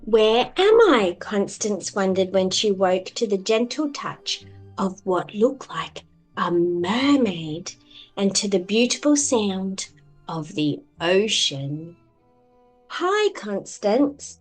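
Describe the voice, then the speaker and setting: A woman's voice: mid-range voice, slow pace, faint music behind. A woman narrating a children's storybook expressively as a read-aloud storyteller.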